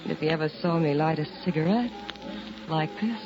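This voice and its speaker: An actress playing a sultry, coy nightclub singer who flirts for show in a 1940s radio drama. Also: female voice, low voice, moderate pace, loud music behind the voice. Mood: coy, teasing. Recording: dull old 1940s radio recording.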